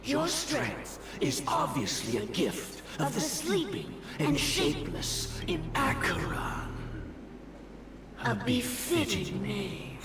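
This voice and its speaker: A woman's voice: medium pitch, huge pitch swings, slow pace. A woman voicing a proud, stern Dream Master in a childlike body, delivering solemn, long-winded threats in a video game. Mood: grave condemnation. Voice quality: childlike, theatrical. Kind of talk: dramatic video game voice acting. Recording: echoing voice under loud music.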